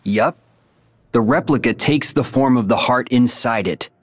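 A young man voicing a calm, earnest hero in a video game, measured and sincere. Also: mid-range voice, breathy edge, in a large hall. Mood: calm, explaining.

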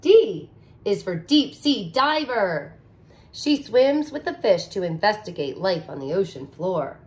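A woman in her thirties, a teacher, reading a picture book aloud to young children in an expressive, sing-song way, recorded close to the camera in a living room. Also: mid-range voice, unhurried. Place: living room with couch, indoors.

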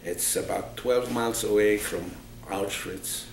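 Interviewee: man in his eighties, Polish accent, gravelly recollections, recorded in a roomy, noisy space. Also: on the low side, fairly even intonation, a little slow. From Poland. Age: 80s. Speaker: interviewee, Holocaust survivor. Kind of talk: oral history recollection. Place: small office indoors, seated. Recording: roomy, noisy, distant microphone.

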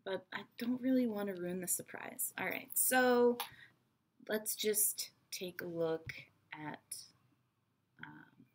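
A woman in her thirties, raised between Israel and America, giving a chatty, frank English lesson to her webcam in a small bright room. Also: medium pitch, slow. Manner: chatty, frank, teacherly asides. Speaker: English teacher. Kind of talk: online English lesson to camera. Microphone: webcam at desk.

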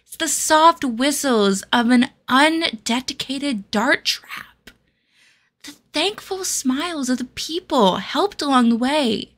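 Hopeful young adventurer, acted by a woman, high bright voice, earnest and dreamy, fantasy game. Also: expressive, takes it slowly, exaggerated voice. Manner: earnest, wistful monologue. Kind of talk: character demo for fantasy tabletop game.

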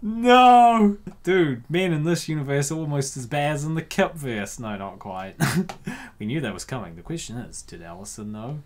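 Lively, theatrical man narrating a comic. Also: on the high side, moderate pace. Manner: theatrical, slangy. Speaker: narrator and commentator. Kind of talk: comic narration with casual riffing.

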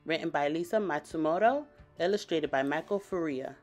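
A woman storyteller reading a children's picture book aloud with expressive, dramatic emphasis. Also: deep voice, fairly quick, soft background music.

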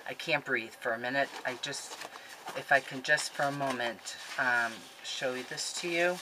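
Woman, 50s, low-pitched, excitable and rambling; home webcam sound with clear background noise. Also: normal speed. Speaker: scrapbooking vlogger. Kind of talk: casual chatty haul video. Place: craft room at home, indoors. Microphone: webcam at desk.

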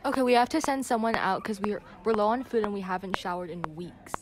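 Casual female student in a zombie drama acted by a young teen girl, medium pitch, clicky noisy sound. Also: fast talker, close to an everyday voice. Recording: noisy amateur recording with clicks.

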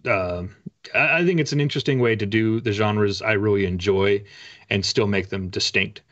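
A middle-aged man with an American accent, chatting casually as an author being interviewed, in a halting, reflective way. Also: lowish voice, a bit bright. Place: home room with bookshelves, indoors. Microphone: video call. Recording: dull, muffled video-call audio.

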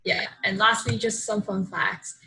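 A woman's voice: medium pitch, steady tone, unhurried, bright, forceful tone, slight background noise, low-quality recording. A young woman from Hong Kong introducing herself over a video call, chatty and upbeat, with many likes and ums. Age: young adult. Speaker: exchange student introducing herself.

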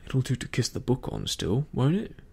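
A man voicing a rough, excitable pirate mutineer in a storybook, with husky, pitched-up ungrammatical sailor talk. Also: normal speed, full character voice. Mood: uneasy.

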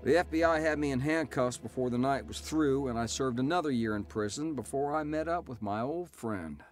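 A man acts a hardened convict looking back on his life in a radio drama. He narrates in the first person at a middling pitch, with music clearly playing underneath. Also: fairly quick, close to an everyday voice. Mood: wry, hard. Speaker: narrator and lead actor.

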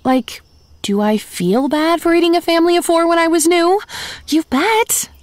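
A woman's voice: very high voice, moderate pace, dry recording. A woman playing a wry, upbeat young female zombie who chats self-deprecatingly to the listener in a comedy audio drama. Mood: wry, confiding. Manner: conversational, self-deprecating, sardonic asides. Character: chatty, wry, upbeat young female zombie narrating her undead life.

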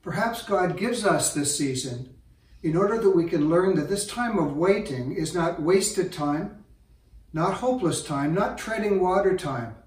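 An older male pastor speaks in a somewhat high-pitched voice. He delivers his prayer and sermon deliberately, in short, measured clauses. The room adds a clear echo around his words. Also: a little mellow. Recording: roomy, echoing indoor sound.

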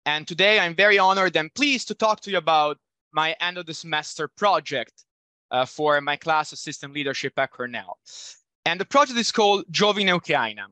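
A man's voice: a little high, expressive, bright, forceful tone, dry recording. A young man with an Italian accent giving a student conference presentation over a video call, talking with a headset and hesitating with fillers. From Italy. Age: young adult. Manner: apologetic, halting with fillers. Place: indoor room at home.

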